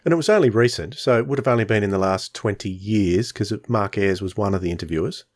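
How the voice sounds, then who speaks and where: A man's voice: lowish voice, fast, dry recording, studio quality. A man hosting a casual discussion podcast in a conversational way. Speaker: podcast host.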